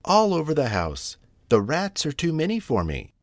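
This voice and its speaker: A fretful mother cat from a children's storybook, voiced by a man, pitched well up. She is tearful and anxious, lamenting as she talks, in a closely recorded, dry sound. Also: huge pitch swings, moderate pace, no room sound. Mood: distressed.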